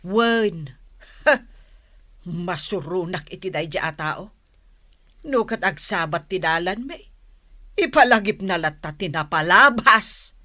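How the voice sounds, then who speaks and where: A woman's voice: fairly low pitch, lively intonation, slow, pauses often, pushed and bright, full character voice. A woman playing a huffy older village mother who sells vegetables in an Ilocano radio comedy drama, grumbling and scolding with an Ilocano accent. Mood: annoyed.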